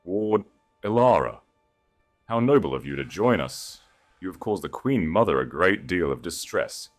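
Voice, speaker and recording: A man's voice: low-pitched, very animated, measured pace, soft background music. A man voicing a sneering, cruel royal enforcer in an audiobook, menacing and commanding. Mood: mocking. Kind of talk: audiobook character voice.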